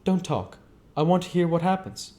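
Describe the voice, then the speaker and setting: Man doing a high, gossipy young woman. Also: very animated, normal speed, warm tone. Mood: indignant gossip. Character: gossipy, blunt, poised young society woman.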